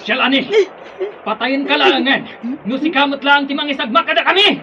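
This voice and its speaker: A man's voice: extremely high pitch, normal speed, crowd noise. A man with a Filipino accent playing a ruthless, sneering rebel henchman in a radio drama. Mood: menacing, taunting. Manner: taunting, threatening. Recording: muffled lo-fi radio drama audio.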